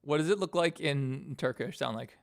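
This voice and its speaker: A man with a New York accent chatting casually as he works on animation, animated, joking and prone to swearing. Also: fairly high pitch, moderate pace, vocal fry. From Queens, New York. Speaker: streamer and animator. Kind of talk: casual reacting and chat while working.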